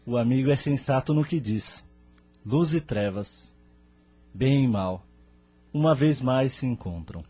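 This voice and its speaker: A man's voice: mid-range voice, expressive, measured pace, pauses often, warm tone, husky, no echo, faint music behind. A man with a Brazilian accent playing a venerable, resolute spirit leader in an audio drama, grave and formal in manner. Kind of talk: scripted audio drama dialogue.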